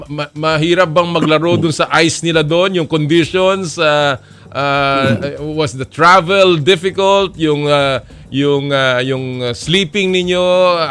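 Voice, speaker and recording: A man with a Filipino accent hosting a sports show, asking questions in a mix of English and Tagalog, halting with many fillers and restarts. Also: fairly high pitch, a bit bright, smooth. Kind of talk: casual interview, mixing English and Tagalog.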